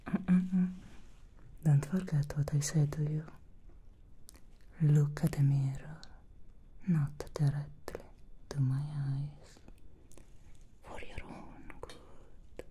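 A woman whispering as a slow seductive demon stylist. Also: deep voice, level tone, warm tone, slight background noise. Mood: sly whisper. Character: alluring scheming female demon hairstylist. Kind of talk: ASMR roleplay.

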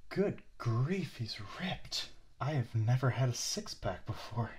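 A man voicing a smitten, possessive spirit in a young man's body, breathy and stammering, in an intimate ASMR roleplay. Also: medium pitch, lively intonation, takes it slowly, a little hiss or hum. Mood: awestruck, adoring. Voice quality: breathy, often dropping to whispers. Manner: awestruck, stammering, eager.

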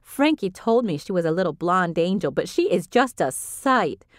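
A woman's voice: fairly low pitch, big pitch swings, moderate pace, dry recording, studio quality. A woman voicing an affectionate, touchy-feely middle-aged aunt who gushes and dotes on everyone. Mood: gushing. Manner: gushing, doting.

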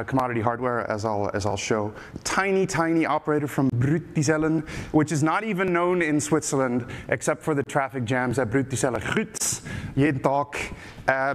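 A middle-aged man from the Netherlands with an American-sounding English accent, giving a casual technical conference talk on stage, full of filler uh's and restarts. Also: mid-range voice. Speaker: conference speaker. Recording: reverberant hall with faint background noise.

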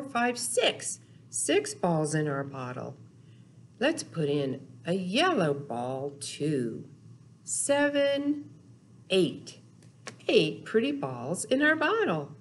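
An older woman in her sixties hosting a storytime for young children, speaking in a deliberate, expressive, simple sing-song teaching way. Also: lowish voice. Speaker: children's storytime host at a library. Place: small indoor room, in front of backdrop. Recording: noticeable background noise, clicks of props.

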